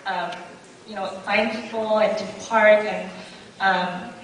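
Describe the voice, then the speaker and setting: A woman's voice: mid-range voice, steady tone, slow. Hesitant young woman in an echoey hall. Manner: hesitant, chatty, laughs through awkward parts. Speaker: conference attendee giving testimony. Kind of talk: personal testimony to an audience. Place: large indoor hall. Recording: very echoey hall, distant mic, crowd and noise.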